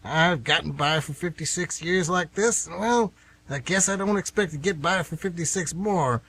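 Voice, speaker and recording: Casting: male actor as stubborn, folksy retiree of fifty-six, husky and bright, an aggrieved grumbler for radio theatre. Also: a little high, normal speed, heavily acted. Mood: reluctant, wistful. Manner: folksy, defensive, exclamatory. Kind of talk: amateur radio theatre acting.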